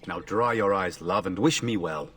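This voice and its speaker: Confident young lord for fantasy RPG; lower register, loving family man, a little off-mic. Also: normal speed, a bit bright, distant mic. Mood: affectionate, reassuring. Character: confident young nobleman, affectionate husband and father. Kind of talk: fantasy video game acting.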